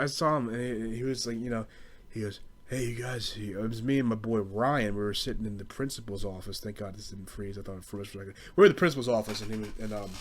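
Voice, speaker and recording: A young American man rambling through a casual, profane comedic rant as a podcast host, in slangy speech. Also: fairly low pitch. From southeastern Pennsylvania, US.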